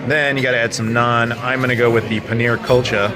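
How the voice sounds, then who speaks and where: A man from North America hosting a casual travel vlog, chatty and jokey, talking excitedly about food and games. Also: medium pitch, brisk, over music. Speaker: vlog host.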